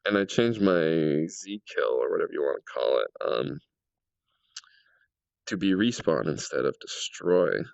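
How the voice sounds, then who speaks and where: A man with a low, gravelly voice, a little raspy from a cold. He rambles and thinks aloud with plenty of fillers while he tests things in a game. The sound is dry and a bit dull, from a home mic. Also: animated, a little slow, no echo. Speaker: game world creator. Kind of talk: casual gameplay commentary.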